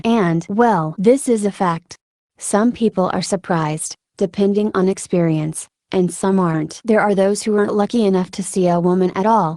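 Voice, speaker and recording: Female combat medic, steadfast soldier and mother played by a woman: mellow, conversational interview voice-over, halting delivery, dry studio-like sound. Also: mid-range voice, no echo, close to an everyday voice. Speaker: dubbing voice of an interviewee. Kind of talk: interview answers in translated voice-over.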